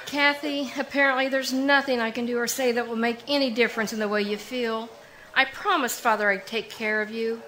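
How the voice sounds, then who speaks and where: A woman playing a composed elder sister who speaks calmly and firmly, in a live 1940s-style radio drama. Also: fairly high pitch, a little fast, slight background noise, rough recording. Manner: calm, firm, pleading.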